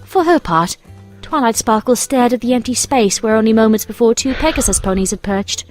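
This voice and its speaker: A woman with a fairly high voice narrates a scripted story in storybook style. Faint music plays behind her. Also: lively intonation, a little fast. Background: soft music.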